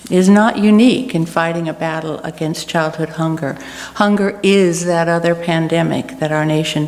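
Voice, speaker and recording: A woman with a slightly low voice delivers a sermon at a very unhurried pace. She sounds earnest and deliberate. The recording has the long echo of a hall and noticeable background noise. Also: gravelly, a little mellow. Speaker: guest speaker giving a church reflection. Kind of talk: personal sermon reflection to a congregation.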